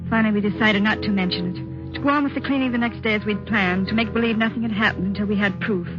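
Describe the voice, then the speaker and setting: An actress playing an anxious young wife in a 1950s radio suspense drama, speaking in a tense, hesitant, dramatic way. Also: female voice, medium pitch, fast, close to an everyday voice. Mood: hushed, uneasy narration. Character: anxious young wife narrating her frightening mystery. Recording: dull vintage radio, music underneath.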